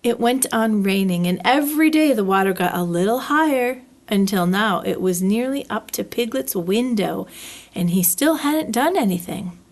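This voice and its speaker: A woman reading a children's storybook aloud as a narrator, with expressive, deliberate phrasing. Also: mid-range voice. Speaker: library story reader.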